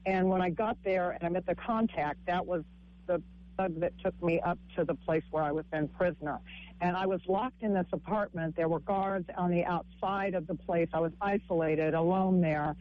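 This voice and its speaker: A woman with a husky voice, a comic and author telling her story haltingly in a radio interview, with frequent restarts. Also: fairly even intonation, dull audio. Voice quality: husky, low-sounding voice. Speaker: guest author and stand-up comic.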